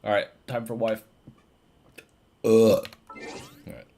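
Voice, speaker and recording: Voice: mid-range voice, little pitch movement, slow pace, mellow. A game streamer giving casual, slangy, reactive live commentary. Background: game music.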